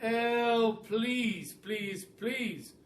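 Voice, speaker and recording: A man voicing an excitable, pompous roly-poly bird in a children's storybook, exclaiming and repeating words urgently. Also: very high-pitched, extremely slow, voice pushed up, full character voice. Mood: indignant. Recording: roomy, distant mic, faint hiss.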